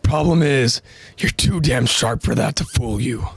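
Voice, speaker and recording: A man voicing a cold, ruthless young male soldier in a low, menacing tone. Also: a little slow, dry recording, exaggerated voice. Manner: low, menacing, gravelly delivery.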